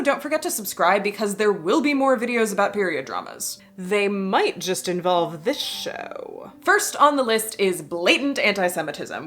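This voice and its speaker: A woman's voice: mid-range voice, fairly bright tone. Wry woman narrating a video essay. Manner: wry, with playful emphatic asides. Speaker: history and costume video creator.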